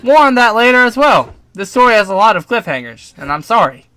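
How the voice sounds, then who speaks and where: A man's voice: sits high, big pitch swings, a little fast, bright, forceful tone, no room sound. A young adult man telling a personal story casually, partly from a script, with informal, self-deprecating asides and some swearing. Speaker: storytime video narrator.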